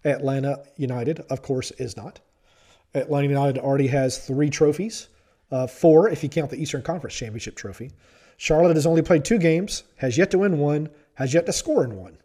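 Male podcast host, stuffy-nosed, wry and self-deprecating, mellow and slightly high. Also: brisk. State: stuffy from pollen allergy. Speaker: podcast host and sports reporter. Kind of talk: podcast commentary.

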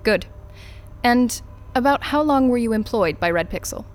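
An actress playing a coolly polite, probing corporate interrogator in an audio drama, speaking in a brisk, formal, dryly sardonic way. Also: female voice, a little high, animated, natural voice. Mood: clinical, probing.

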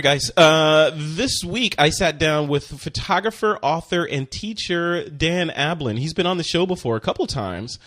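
A man hosting a photography podcast, reading a sponsor spot and interviewing a guest in a relaxed, chatty way with many fillers and restarts. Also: medium pitch. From Chicago. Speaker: podcast host. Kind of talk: casual interview and ad read.

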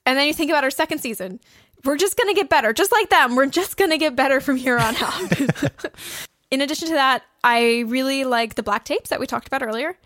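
A woman's voice: high-pitched, fairly quick, fairly bright tone, no echo, studio quality. A woman co-hosting a podcast in casual, informal chat, giving enthusiastic recommendations. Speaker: podcast co-host.

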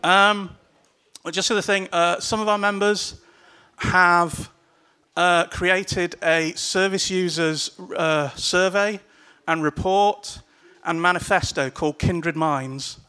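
A man's voice: sits high, steady tone, takes it slowly, sharp tone, clear, resonant tone. A man speaking casually and loosely to a packed meeting room, urging the crowd with some swearing and laughs. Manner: loose and chatty, begging with laughs. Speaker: panel speaker at a political event.

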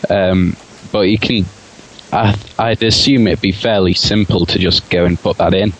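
A man with a fairly low, noticeably husky voice chats casually as a panelist on a gaming podcast. His speech is informal and conversational. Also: steady tone, moderate pace, low-quality recording.

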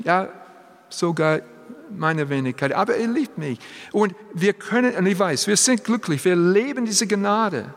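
A man preaching a sermon in German, which is not his first language; he speaks haltingly and drops in English phrases. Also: high voice, a bit bright. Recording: long hall reverb.

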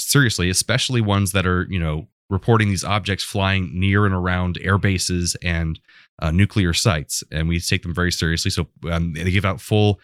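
Low-voiced American man chatting on a podcast. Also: fairly bright tone, no echo, clean studio sound. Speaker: podcast co-host. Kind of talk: casual podcast chat.

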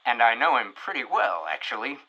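A chatty radio reporter narrator in a comic show. His voice is bright and forceful with lively intonation. The sound is a little lo-fi. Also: mid-range voice, a little fast. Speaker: host.

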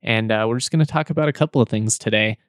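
A man with a slightly hoarse voice hosting a casual sports podcast, talking loosely and repeating words as he goes. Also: lowish voice, fast, no room sound, clean studio sound. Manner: chatty, repeats words, laughs between points.

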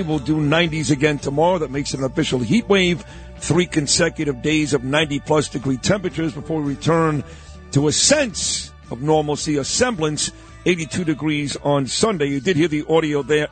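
A man's voice: fairly high pitch, a little fast, faint music behind. A man hosting a morning radio show, talking in a rambling way with boastful asides. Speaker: radio host. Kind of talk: casual opinionated talk radio.

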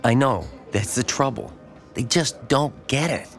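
An actor playing a lonely, withdrawn small-town man in an audio drama, halting, subdued and gloomy. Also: medium pitch, soft background music, lightly acted. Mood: reluctant, gloomy. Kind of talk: naturalistic audio drama dialogue.

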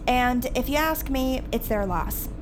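A woman acting a snarky, excitable teenage fangirl in a scripted comedy-drama. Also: sits high, a little slow, noisy background. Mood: mocking, dismissive. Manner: snarky, mocking. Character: sarcastic, excitable teenage fangirl, a high school senior. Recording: music under the dialogue.

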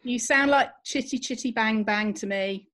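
A woman from England with an English accent, a bright and friendly podcast guest, her voice from farther off. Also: a little high, normal speed. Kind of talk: remote interview.

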